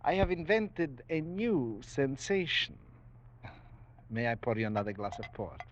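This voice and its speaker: Man playing a suave, cold-blooded general, animated delivery. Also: mid-range voice, natural voice. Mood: smug relish. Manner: courtly, formal, boastful, measured relish. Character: suave, cultured, cold-blooded aristocratic general who hunts men. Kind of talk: 1940s radio drama acting. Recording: dull vintage 1940s radio recording.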